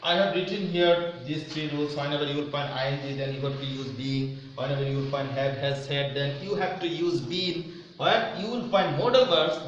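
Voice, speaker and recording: A man teaches in a repetitive, didactic manner, laying out rules one step at a time. His delivery is unhurried and slow. The sound is heavily echoed and picked up from a distance, as in a bare room. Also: fairly high pitch. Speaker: English grammar teacher. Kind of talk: instructional lesson to students. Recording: very echoey room, distant mic, muffled, faint noise.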